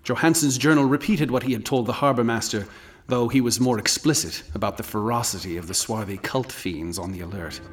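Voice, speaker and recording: A man's voice: mid-range voice, fairly quick, close to an everyday voice. A man playing the solemn narrator of a horror tale in a scripted radio drama, over music.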